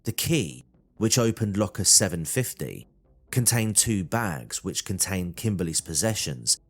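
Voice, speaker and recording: A man's voice: deep voice, sing-song, measured pace, soft background music. A man reading scripted true crime documentary narration.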